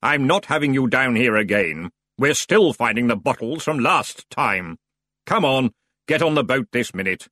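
A man voicing a grumpy ferryman of the dead who talks slowly and wearily. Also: mid-range voice, lively intonation, bright, forceful tone, dry recording, clean studio sound.

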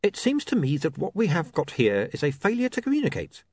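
Guy doing a smooth threatening criminal, calm, kinda high, pitch wandering. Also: fairly quick. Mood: coaxing. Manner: calm reasoning menace.

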